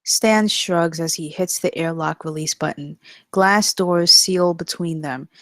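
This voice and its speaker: A woman's voice: on the low side, measured pace, no room sound, studio quality. A woman reading scripted stage directions as the narrator.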